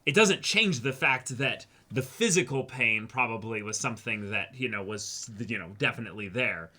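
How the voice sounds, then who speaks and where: A man's voice: mid-range voice, animated, moderate pace, sharp tone. A man co-hosting a reaction show, talking through his analysis casually, wordy and emphatic as he thinks aloud. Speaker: co-host of an anime reaction show.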